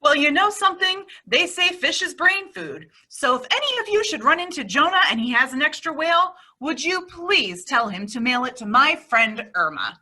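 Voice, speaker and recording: A woman's voice: sits high, big pitch swings, moderate pace, few pauses, pushed and bright, heavily acted. A woman playing a wry, long-suffering roommate who narrates an old-time radio comedy to the audience. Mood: bemused, wry.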